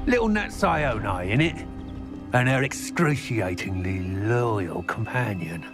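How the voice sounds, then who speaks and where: A man's voice: medium pitch, expressive, slow pace. A man playing a smug, menacing criminal boss in a video game, drawling mockingly in ornate sentences. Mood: mocking menace. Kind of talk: scripted video game villain acting. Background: background music.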